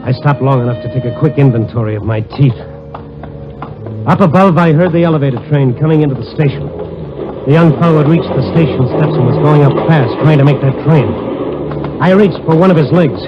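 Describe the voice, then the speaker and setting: A man's voice: on the high side, a little fast, natural voice. An actor with an American accent playing a wry, tough investigator who narrates his case in an old-time radio drama. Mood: wry, brooding. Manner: wry, hardboiled delivery. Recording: dull vintage radio recording with music.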